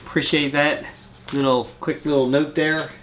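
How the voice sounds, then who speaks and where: A man's voice: fairly high pitch, flat delivery, moderate pace, background noise. A man with a cold, congested and coughing now and then, chatting casually on his vlog and rambling from one thought to the next. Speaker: vlogger reading fan mail. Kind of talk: casual chat.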